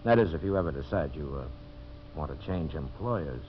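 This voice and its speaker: An actor playing a sly, coaxing rival timber employer in a 1950s radio drama. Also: male voice, very deep voice, animated, moderate pace, crowd noise. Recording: muffled 1950s radio broadcast, clear background noise.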